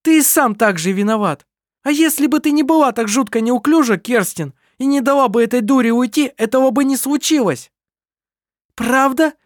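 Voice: high voice, moderate pace, no room sound, studio quality, voice pushed up, close to an everyday voice. A reader voicing an indignant, hysterical young woman in an audiobook. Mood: outraged. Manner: screeching, outraged.

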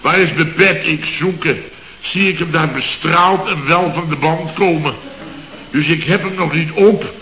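Blustering boss, exasperated storyteller, highish voice, lo-fi old radio. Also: male voice, a little slow, bright, forceful tone, reverberant, a little hiss or hum. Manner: exasperated comic storytelling, keeps exclaiming. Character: blustering, boastful male firm boss. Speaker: boss of a building firm. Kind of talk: 1960s radio comedy acting.

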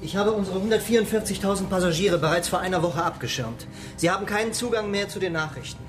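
A man's voice: sits high, fast talker, over music, close to an everyday voice. An actor playing a wary, resolute male organiser among spaceship fugitives in a radio drama. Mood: grave, determined. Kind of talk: German radio drama acting.

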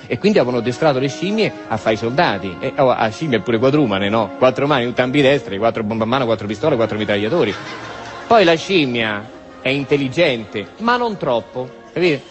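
A man with a Roman accent performing a colloquial theatrical monologue about war, rambling and repetitive, tossing in tag questions. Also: mid-range voice. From Rome, Italy. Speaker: monologue storyteller, stage actor.

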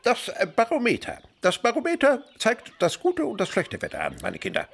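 Husky, wildly animated old naturalist. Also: male voice, very high-pitched, moderate pace, full character voice. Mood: mocking, animated. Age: older. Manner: animated, mimicking, opinionated. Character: old naturalist father, lively, grumbling, fond of children. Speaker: radio play actor. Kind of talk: German radio drama dialogue.

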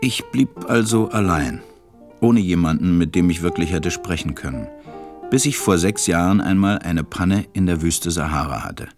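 An actor playing a grown pilot who wistfully recalls his life as a storyteller in a radio play, measured and reflective. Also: sits low, music in the background. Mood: wistful, wondering.

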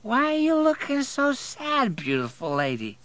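A high-pitched slurring drunk man. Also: huge pitch swings, measured pace, fairly bright tone, full character voice. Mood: drowsy, tender. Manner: drawling, drunken, emotional.

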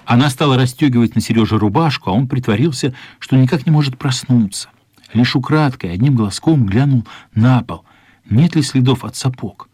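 A man narrating a children's radio play as scripted storytelling, measured and expressive, with pauses. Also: medium pitch, breathy edge, no room sound. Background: music.

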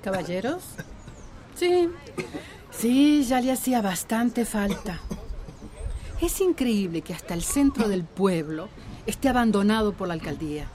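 An actress playing a proud, prickly village healer in a radio drama, curt and dignified. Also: female voice, medium pitch, expressive, a little slow, pauses often, dark tone, slight background noise, lightly acted. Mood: indignant. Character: proud, prickly village herbal-remedy woman.

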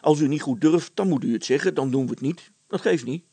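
A man's voice: fairly high pitch, brisk, mellow, breathy edge, no echo. A man voicing a cheerful, confident village smith and inventor in a storybook, breezy, reassuring and matter-of-fact.

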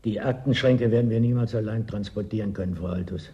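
A man's voice: fairly low pitch, fast, mellow, highs cut off, close to an everyday voice. A man playing a deferential, practical office assistant in a German radio drama, matter-of-fact and attentive.

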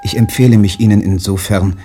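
An actor playing a withdrawn, submissive poet son reading out stilted, deferential letters in a radio play. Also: male voice, on the low side, fairly even intonation, fairly quick, warm tone, slight background noise, lightly acted. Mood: stiff, submissive.

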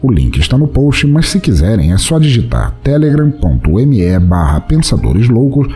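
A man's voice: medium pitch, sing-song, normal speed, warm tone, husky. A Brazilian man hosting a podcast, reading scripted announcements in a playful, mock-formal way over faint music. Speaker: podcast host.

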